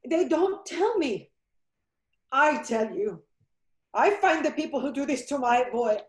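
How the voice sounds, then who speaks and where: A woman's voice: fairly high pitch, unhurried, darkish tone, close to an everyday voice. An actress playing a grieving Italian mother. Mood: furious grief. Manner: broken English, pleading then raging. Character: grieving immigrant mother, older woman, desperate and fierce. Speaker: immigrant mother. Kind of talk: broadcast drama acting. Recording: roomy echoey recording.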